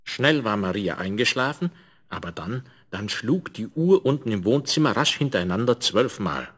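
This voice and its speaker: A man narrating a scripted fairy tale in a measured, expressive storytelling manner. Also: lowish voice, slightly rough. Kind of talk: scripted fairy-tale narration, 1970s German radio play.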